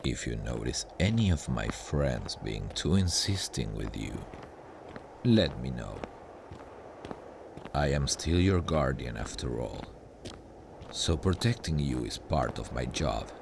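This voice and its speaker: Man voicing a very deep, gravelly demon guardian. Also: lively intonation, full character voice. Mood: calm, protective. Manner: smug, dryly menacing, unhurried. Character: sardonic, possessive male demon guardian of hell. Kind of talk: ASMR roleplay, slow intimate monologue. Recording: noisy ambient sound bed. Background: hellish ambience.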